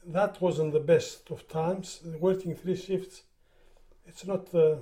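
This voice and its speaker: Older Greek-born man, accented English, higher pitched with a warm dark tone, halting reminiscence. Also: fairly even intonation. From Greece. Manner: halting, repeats words while recalling. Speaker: interviewee. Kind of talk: oral history interview.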